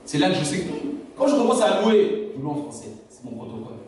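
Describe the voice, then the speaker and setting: A man with a fairly high voice preaches a sermon in a large, very echoey church. His native language is Lingala, and he keeps asking the congregation whether they understand. He is heard from some distance, with faint background noise. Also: sing-song, fast talker, a little mellow. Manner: preaching with rhetorical questions to the congregation. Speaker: pastor.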